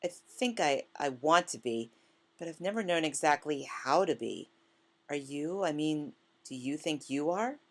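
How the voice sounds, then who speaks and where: Woman narrator as melancholy male colleague, very low pitch, hesitant, musing, literary storybook reading. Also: lively intonation, measured pace, full character voice. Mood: hesitant, unsure.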